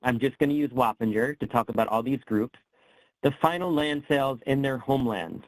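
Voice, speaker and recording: A man's voice: medium pitch, no room sound, rough recording. Man lecturing with fading voice. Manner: explanatory, with frequent ums and self-corrections. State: losing his voice. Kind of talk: online history presentation. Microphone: computer mic on a video call.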